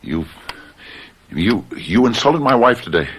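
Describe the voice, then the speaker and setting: A man's voice: mid-range voice, very animated, measured pace, fairly bright tone, slight background noise. An actor playing an anguished, nervous father haunted by a ticking clock, in a radio drama. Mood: outraged. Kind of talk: radio drama acting with diary narration. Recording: old radio drama with music behind.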